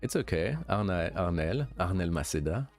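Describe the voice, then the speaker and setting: A young man with a light French accent, a streamer chatting casually with viewers, relaxed and hesitant with many fillers and restarts. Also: sits low, moderate pace, soft background music. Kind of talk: casual chat answering viewers.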